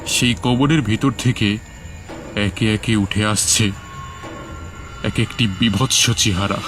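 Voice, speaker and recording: A man's voice: on the low side, slow pace, a bit bright, slight background noise. A man narrating a scripted first-person horror story in a dramatic, suspenseful manner. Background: music under the voice.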